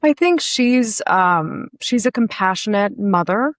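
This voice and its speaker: A woman, an actress, giving hesitant, measured answers in a conversational interview. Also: medium pitch, lively intonation, dry recording, studio quality. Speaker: actress being interviewed about her role.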